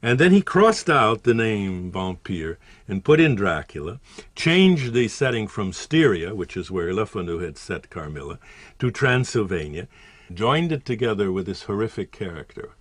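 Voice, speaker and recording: Brief: male author interviewee, lowish voice, dry close sound, chatty corrections. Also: sing-song, moderate pace, no room sound. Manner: conversational, self-correcting explanation. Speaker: author and historian. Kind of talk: expert interview commentary.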